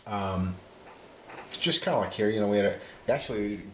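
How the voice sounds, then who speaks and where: A man's voice: lowish voice, moderate pace, darkish tone, slight background noise. A man from Mexico, chatting casually as a guest on a live stream, easygoing and full of fillers. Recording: roomy echo.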